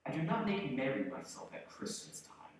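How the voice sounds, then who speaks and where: A man's voice: mid-range voice, huge pitch swings, moderate pace. An actor playing a bitter, miserly old businessman, curt and scornful, in a theatrical radio play style. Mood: cold, dismissive. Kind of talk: live radio play acting. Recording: very echoey hall, distant microphone.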